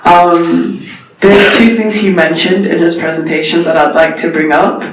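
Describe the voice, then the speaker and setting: A man's voice: a little high, fairly even intonation, a little slow, noisy background. Earnest young man, echoey and distant. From South Africa. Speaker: intern giving a presentation. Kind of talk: informal talk at a panel event. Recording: echoey, distant from the mic, faint ambience.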